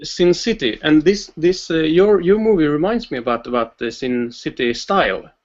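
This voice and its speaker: A man's voice: fairly high pitch, normal speed. Shy man on a lo-fi call. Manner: hesitant, brief answers. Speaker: fan guest on a video call. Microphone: video call. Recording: dull lo-fi video-call audio.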